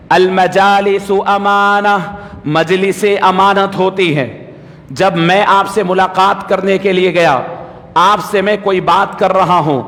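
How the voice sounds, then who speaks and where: High-pitched male preacher in an echoing hall. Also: fairly bright tone. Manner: admonishing, exhorting, rhetorical questions. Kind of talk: sermon in a mosque. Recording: long hall reverb, amplified preaching.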